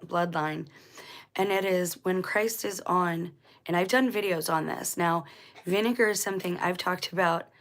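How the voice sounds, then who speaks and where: A woman hosting her own commentary channel in a casual, rambling way, her voice a little scratchy and dry. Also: on the low side. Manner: rambling, self-correcting, conversational. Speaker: channel host.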